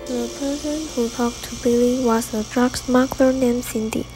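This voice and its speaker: A woman's voice: a little high, steady tone, normal speed, music in the background, close to an everyday voice, rough recording. A woman playing a detective's partner reporting findings in an amateur student radio drama. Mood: businesslike.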